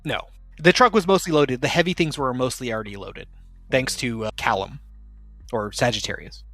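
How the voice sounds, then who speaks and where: A casual game master, a man with a middle-pitched voice who explains the scene at length and keeps circling back with fillers and restarts. His voice sounds dry and close, with faint music under it. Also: normal speed, fairly bright tone, dry recording. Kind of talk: casual tabletop game narration.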